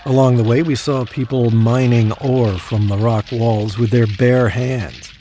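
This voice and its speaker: A man's voice: mid-range voice, fairly even intonation, unhurried, dark tone, a little hiss or hum, close to an everyday voice. An actor playing a self-important, demanding man stuck in Hell, haughty and complaining, in an audio drama. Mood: self-important narrating. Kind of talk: first-person audio drama narration and dialogue.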